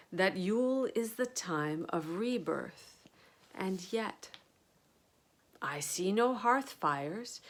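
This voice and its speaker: A woman reader plays a stately old crone, an earth mother speaking to her children in a storybook poem. Her voice is on the low side and very unhurried. She is gentle and kindly, even as she chides.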